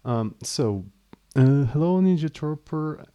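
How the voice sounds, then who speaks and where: A man's voice: fairly high pitch, animated, slow, mellow, clean tone, muffled recording. A man live-streaming his coding, speaking English as a second language in a hesitant, apologetic way with frequent restarts. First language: not English. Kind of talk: casual live stream chat, explaining code.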